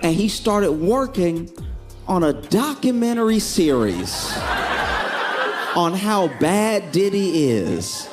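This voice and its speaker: A man's voice: sits high, sing-song, slow, over loud music. A man narrating a commentary video in a chatty, amused way, laughing through his lines.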